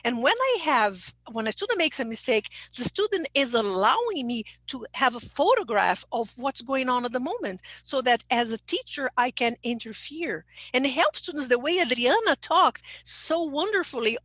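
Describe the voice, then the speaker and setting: A woman's voice: high-pitched, fairly bright tone, no room sound. A woman giving a webinar lecture, explaining step by step and checking in with "okay?" and "right?". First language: Portuguese. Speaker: teacher trainer giving a webinar.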